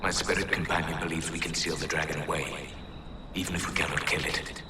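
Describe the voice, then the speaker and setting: An actor playing a weary, solemn old elven hunter-mage in a video game, speaking in a grave, measured, formal way. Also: male voice, rumbling low, fairly even intonation, very noisy. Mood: solemn, resolute. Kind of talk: dramatic video game character acting.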